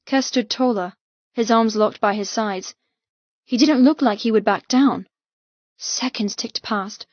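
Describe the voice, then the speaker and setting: A woman's voice: medium pitch, no echo, dull audio. A woman narrating an audiobook novel in the first person, with an intense, emotive, dramatic delivery.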